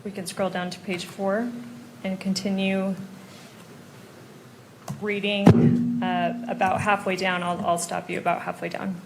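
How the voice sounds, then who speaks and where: A woman's voice: mid-range voice, little pitch movement, unhurried, vocal fry, pauses often, background noise. A woman speaking as an attorney at a hearing, politely giving procedural instructions to a witness. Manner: polite, directing.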